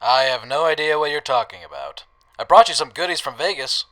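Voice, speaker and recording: Man as a bright, cocky wasteland scrapper. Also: mid-range voice, sing-song, a little fast. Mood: casual, bargaining. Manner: bantering, cocky. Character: wry, breezy post-apocalyptic male scrap trader. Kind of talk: radio drama dialogue.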